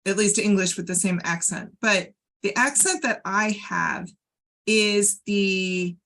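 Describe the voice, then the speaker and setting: A woman with a General American accent co-hosts a conversational podcast. She speaks slowly at a middling pitch, laying out her points in a measured, explanatory manner. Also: fairly bright tone. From United States, Ohio. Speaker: podcast co-host. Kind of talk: casual conversational podcast discussion.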